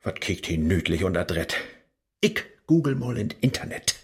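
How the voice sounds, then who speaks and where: An actor playing a flustered scholar fumbling with a laptop, speaking with a Low German accent and a husky voice. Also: male voice, sing-song, normal speed, exaggerated voice.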